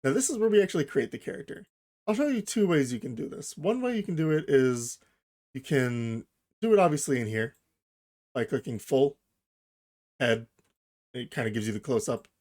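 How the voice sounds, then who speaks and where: Casual male software tutorial host. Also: mid-range voice, animated, normal speed, long pauses. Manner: informal, explains step by step while thinking aloud.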